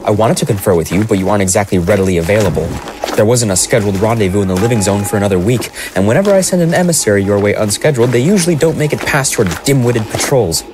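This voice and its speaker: A man acts a put-upon small-town mayor in an audio drama. His voice is fairly low, fussy and evasive. There is clear noise in the background. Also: animated, quick pace, close to an everyday voice. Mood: placating. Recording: noisy background ambience.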